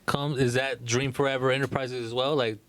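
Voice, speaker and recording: A man's voice: mid-range voice, level tone, brisk, a bit bright. A man co-hosting a podcast in casual, slangy conversation. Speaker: podcast co-host.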